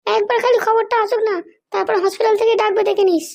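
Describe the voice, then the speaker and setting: A performer voicing a scheming, power-hungry madam in a comedy cartoon, with a high childlike voice and a bossy, threatening manner. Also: fairly even intonation, fast, creaky, darkish tone. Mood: conspiring, confident. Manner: bossy, threatening, self-justifying. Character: scheming, power-hungry madam, ruthless mother seeking political power.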